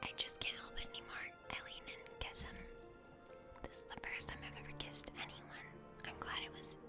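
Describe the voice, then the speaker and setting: A woman plays a smitten young heroine of a fantasy game, narrating her adventure. The delivery is a breathy whisper, hushed and intimate, in ASMR style. Loud music plays behind the words. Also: natural voice. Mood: tender. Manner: breathy, hushed, drawn-out storytelling. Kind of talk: whispered ASMR story reading.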